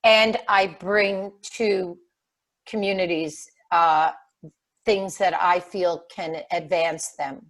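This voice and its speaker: A woman's voice: on the low side, fairly even intonation, slow pace, fairly bright tone. A woman panelist speaking earnestly and casually on a video call. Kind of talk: webinar panel discussion.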